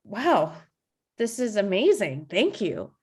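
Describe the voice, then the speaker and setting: Woman reading as awkward young standup girl, casual deadpan, mid pitch, video call read. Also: slow pace, husky, exaggerated voice. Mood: wry, surprised. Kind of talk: table read of a comedy pilot script.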